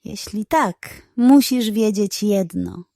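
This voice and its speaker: A woman's voice: medium pitch, slow pace, dark tone, no room sound, studio quality. A woman voicing a tall, glowing male guardian of dreams in a children's storybook, solemn, watchful and commanding.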